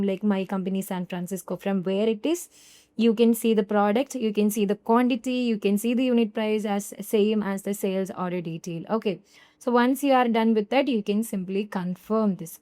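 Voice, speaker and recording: A woman narrates a screen-recorded software tutorial in a warm, mellow voice at an ordinary pitch. She keeps it chatty, calls her viewers "you guys" and stops often to correct herself. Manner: conversational, addresses viewers as "you guys", frequent fillers and self-corrections.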